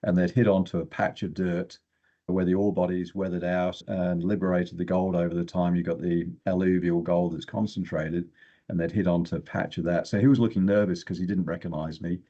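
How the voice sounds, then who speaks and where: A man's voice: low voice, little pitch movement, fairly quick, warm tone, dull audio. An older man, an exploration geochemist, telling stories casually as an interview guest.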